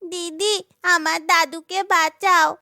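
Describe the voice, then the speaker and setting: A woman voicing a kind, gentle young magical creature in a children's cartoon, sweet and earnest. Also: very high-pitched, takes it slowly, no room sound, studio quality, exaggerated voice. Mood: pleading.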